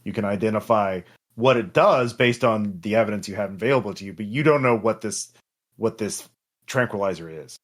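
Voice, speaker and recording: A man narrating as game master of a tabletop roleplaying game, in a halting, improvised way. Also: fairly low pitch.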